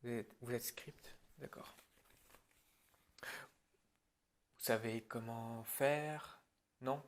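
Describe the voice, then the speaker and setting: A man's voice: mid-range voice, steady tone, drawn-out words, long pauses, slight background noise. A man speaking informally and hesitantly in an improvised ASMR roleplay, as a film director addressing his crew.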